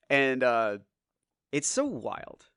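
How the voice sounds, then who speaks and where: A man in his forties with a slightly hoarse voice, chatting loosely on a podcast, rambling and swearing freely. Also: medium pitch, huge pitch swings, extremely slow, fairly bright tone, no room sound, clean studio sound. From United States. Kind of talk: casual podcast chat.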